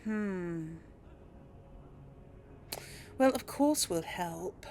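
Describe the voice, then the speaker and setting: A woman's voice: on the low side, lively intonation, very slow, warm tone. A woman hosting a casual role-play Let's Play, chatting and thinking aloud as she plays. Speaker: gaming host. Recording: faint noise, game ambience behind.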